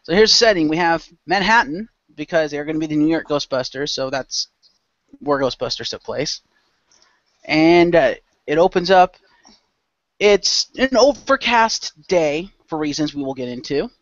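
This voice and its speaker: A man's voice: a little high, lively intonation, normal speed, a bit bright, dry recording. A man hosting and narrating a role-play show as game master in a loose, informal way. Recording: dull lo-fi close microphone.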